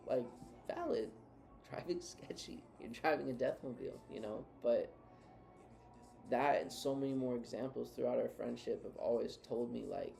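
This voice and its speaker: Gravelly dark-voiced man, earnest. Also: medium pitch. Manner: earnest, advising. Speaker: co-host. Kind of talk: reflective casual talk.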